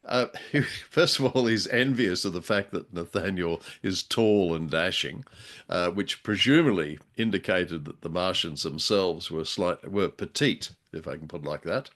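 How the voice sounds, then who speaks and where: A man's voice: low-pitched, moderate pace, fairly bright tone. A man co-hosting a podcast, chatting casually in a droll, wordy, hesitant way. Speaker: podcast co-host. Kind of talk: casual chat, radio drama discussion.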